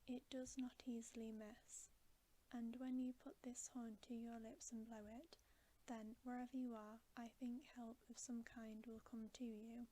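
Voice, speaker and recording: A woman voicing a solemn, kindly old man in a storybook, in a soft, measured whisper. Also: fairly high pitch, level tone, rough recording.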